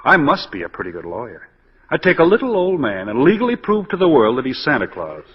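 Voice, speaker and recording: An actor playing a genial, good-humoured lawyer in a 1940s radio drama, on a dull old recording. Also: male voice, mid-range voice, wildly swooping pitch, fairly quick, breathy edge. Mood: amused.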